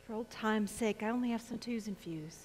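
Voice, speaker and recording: An actress plays a needy addict who wheedles and sweet-talks. Her voice is fairly high and carries from a reverberant stage. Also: brisk, a little mellow, lightly acted. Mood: wheedling, needy. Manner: coaxing, sweet-talking. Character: wheedling woman addict begging for drugs. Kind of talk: theatrical staged reading. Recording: distant voice in reverberant hall.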